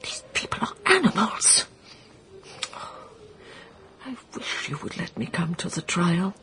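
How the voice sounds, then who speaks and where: An actress playing a haughty, anguished middle-aged wife in a radio drama, imperious and melodramatic. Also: female voice, on the low side, sing-song, slow pace, background noise. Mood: distraught. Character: haughty, anguished German wife of imprisoned Nazi. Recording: loud music behind the voice.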